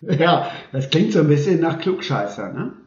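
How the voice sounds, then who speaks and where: A man's voice: fairly high pitch, big pitch swings, normal speed, darkish tone. Roomy, muffled recording of a male host. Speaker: podcast host. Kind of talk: casual chat. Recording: roomy, dull lo-fi sound.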